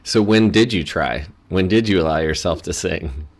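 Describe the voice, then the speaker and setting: A man's voice: deep voice, normal speed, gravelly. A man hosting an interview, asking questions in an encouraging way and often restarting them. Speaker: podcast host. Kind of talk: casual interview chat.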